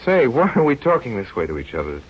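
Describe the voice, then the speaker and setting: An actor playing an earnest, devoted doctor in an old-time radio drama. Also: male voice, mid-range voice, huge pitch swings, fast talker, breathy edge. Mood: tender. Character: earnest, devoted male doctor running a field experiment. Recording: muffled vintage radio recording with music.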